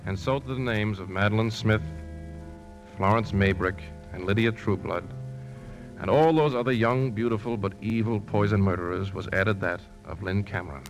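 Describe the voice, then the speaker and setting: Actor as grave, low, gravelly-voiced man. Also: normal speed, soft background music, close to an everyday voice. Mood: somber. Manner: earnest, somber, dramatic. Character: grave, remorseful man determined to expose a poisoner. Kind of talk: 1950s radio drama acting and first-person narration.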